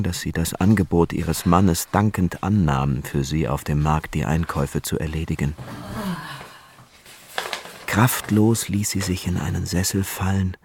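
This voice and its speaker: A man narrating a scripted radio drama in a measured storytelling manner. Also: low-pitched, a little mellow, a little hiss or hum.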